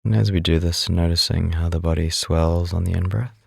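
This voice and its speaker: A man leading a guided meditation as an instructor, speaking in a calm, unhurried, instructive way. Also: low-pitched, creaky, slightly rough, no echo, clean studio sound.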